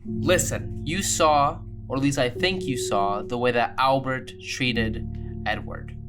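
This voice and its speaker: A man's voice: medium pitch, takes it slowly, lightly acted. Tabletop game heroine acted by a man: young, earnest, persuasive girl, faint music behind. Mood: impassioned. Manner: impassioned, direct, earnest. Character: bold, persuasive young girl urging a friend to escape.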